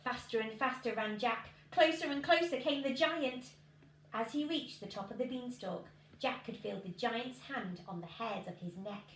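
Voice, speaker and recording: Woman, thirties, expressive storybook narration, highish voice, echoey room. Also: moderate pace. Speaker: teacher reading a story. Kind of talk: expressive children's storybook reading. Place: living room at home, indoors. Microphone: camera a few feet away. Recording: echoey room with background noise.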